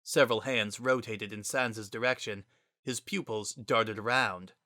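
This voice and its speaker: A man narrates scripted fiction in a bright, forward voice. The recording is close and dry, with the delivery of a dramatic story reading. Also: lowish voice, steady tone, unhurried, dry recording.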